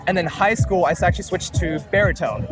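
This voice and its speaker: A man's voice: high voice, quick pace, a crowd in the background. A young man whose first language is Tagalog, a music producer, talking casually and rambling with many fillers in an interview outdoors at the beach. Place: outdoors at a beach, traffic nearby. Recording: music playing under the voice.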